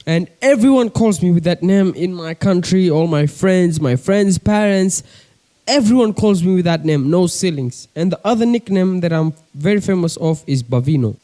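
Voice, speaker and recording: Chatty young Kenyan man, high mellow voice. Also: lively intonation, moderate pace. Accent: coastal Kenyan. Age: young adult. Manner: chatty, keeps addressing viewers as guys. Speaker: pigeon-keeping vlogger. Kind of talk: casual chat to camera. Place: bedroom with a desk.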